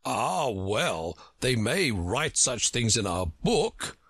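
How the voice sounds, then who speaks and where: Man putting on a pompous slow-drawling egg creature. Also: mid-range voice, wildly swooping pitch, a bit bright, no echo, studio quality, pitched above the performer's own voice, heavily acted. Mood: proud, condescending. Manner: haughty, drawn-out, growling. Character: pompous, testy egg-man perched on a wall. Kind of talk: storybook character reading.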